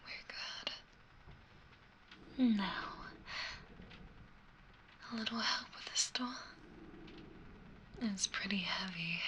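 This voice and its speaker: Whispering, unhurried alien girl, acted by a woman. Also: medium pitch. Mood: gentle, reassuring. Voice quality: soft, breathy whisper. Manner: unhurried, gentle, intimate. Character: friendly young female alien girl, gently teasing, fascinated by humans. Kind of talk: whispered ASMR roleplay. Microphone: close binaural 3Dio microphone.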